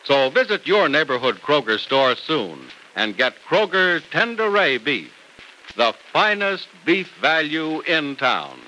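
A man's voice: fairly high pitch, big pitch swings, unhurried, bright, forceful tone. An American man announcing in a polished, emphatic 1940s radio style, stretching out key words. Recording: noisy vintage radio recording.

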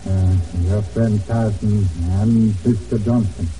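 An actor in an old radio drama playing a loyal, grave island rancher with halting, deliberate, formal phrasing. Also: male voice, fairly low pitch, fairly even intonation, dark tone, faint music behind. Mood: sorrowful. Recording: muffled old radio, distant, faint noise.

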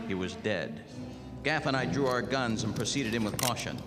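A hardboiled American private eye played by a man tells his story in terse, slangy noir fashion. He sounds world-weary, in the manner of 1940s radio drama, with music clearly playing underneath. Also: mid-range voice, animated, normal speed, lightly acted. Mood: weary, brooding. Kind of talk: 1940s radio noir acting, narration and dialogue.

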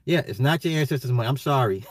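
An American man ranting casually on a livestream, emphatic and slangy, repeating his phrases, his voice getting slightly hoarse. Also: medium pitch, expressive, fast, no room sound. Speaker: livestream host. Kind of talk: casual opinionated livestream rant.

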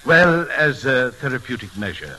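Prim, swooping old-radio deacon. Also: male voice, mid-range voice, big pitch swings, moderate pace, pushed and bright, rough recording. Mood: meek, coy. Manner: genteel, hesitant, formally pious speech. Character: mild, prim deacon slyly feigning innocence at poker. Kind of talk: 1940s radio comedy acting. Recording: vintage 1940s radio broadcast recording.

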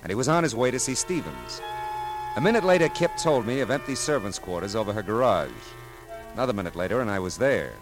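A world-weary male private eye from a classic radio detective drama. His voice is low, with lively swings in pitch under a dry, deadpan wit. Music sits behind the vintage recording. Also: quick pace, poor audio. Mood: brooding narration.

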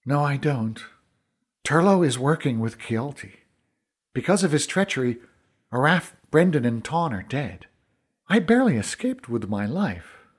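Guy doing a young fantasy hero, earnest n urgent, weary, pitched up, bit husky. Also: very animated, measured pace. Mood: blunt, earnest.

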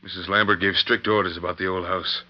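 An actor playing a gruff, suspicious ranch foreman with blustering cowboy talk in an old radio western. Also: low-pitched, fairly even intonation, quick pace, natural voice. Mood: grudging, wary. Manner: blustering, folksy cowboy speech. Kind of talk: 1940s western radio acting. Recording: dull vintage radio recording with noise.

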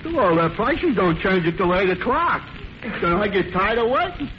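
An actor playing a good-natured, dim-witted barfly who talks in a dopey, hesitant, repetitive way, in 1940s radio comedy style. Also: male voice, sits high, sing-song, normal speed. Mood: earnest, foolish. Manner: dopey, hesitant, repeats himself. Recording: muffled, hissy 1940s radio recording.

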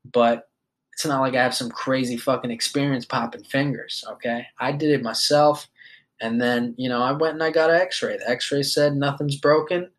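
A young man in his twenties talks straight to his listeners in a loose, rambling monologue. He swears freely and fills his pauses with "you know" and "um". His voice sits at a middling pitch. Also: fairly even intonation, a bit bright. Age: 20s. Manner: loose and rambling, swears often, many fillers. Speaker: podcast host. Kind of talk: casual monologue.

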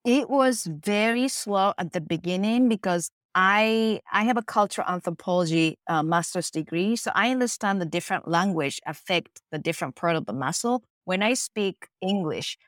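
A woman's voice: medium pitch, moderate pace, few pauses, dry recording. A middle-aged woman with a Japanese accent tells her story in an earnest, reflective way. She often slips into quoted lines. The recording is dry and close. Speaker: face yoga teacher. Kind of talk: interview answers.

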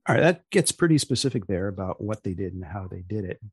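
A man hosting a podcast, putting questions to a guest in a deliberate, wry, halting conversational way. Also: low voice, expressive, a little mellow, husky, no room sound, studio quality. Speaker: podcast host interviewing a guest.

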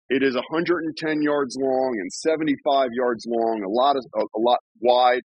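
A man's voice: mid-range voice, breathy edge, no echo. A man in his forties hosting a casual interview, chatty and rambling with restarts and laughs. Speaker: podcast host. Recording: dull lo-fi sound.

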